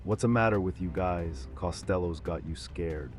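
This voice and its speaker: Male actor as swaggering crime boss, very low pitch, menacing tough talk, comic dub, faint music behind. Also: normal speed, natural voice. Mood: commanding. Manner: tough-talking mobster delivery. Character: ruthless, superstitious male gangster boss, bullying and swaggering. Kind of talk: motion comic dub of 1940s gangster story.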